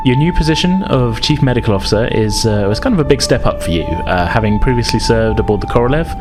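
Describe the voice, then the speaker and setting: A man narrating a tabletop sci-fi role-playing game as game master, descriptive and somewhat halting. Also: mid-range voice, lively intonation. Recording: music playing behind the voice.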